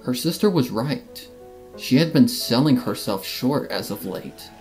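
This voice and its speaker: Story narrator over clear guitar music. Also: medium pitch, lively intonation, a little slow, darkish tone. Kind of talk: scripted dramatic story narration. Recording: music under the voice. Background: clear background music with guitar.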